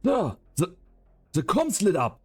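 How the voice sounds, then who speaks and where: A man playing an anxious, soft-hearted young henchman in an amateur game fan dub, excitable and stammering. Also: high voice, slow, husky, faint music behind, exaggerated voice. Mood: nervous.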